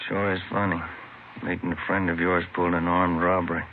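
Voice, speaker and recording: An actor playing an easygoing, laconic police detective in an old radio drama. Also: male voice, deep voice, level tone, brisk, lightly acted. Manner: understated, casual, laconic. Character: easygoing wounded male police detective partner. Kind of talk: 1950s radio drama acting. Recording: muffled old radio broadcast, heavy noise.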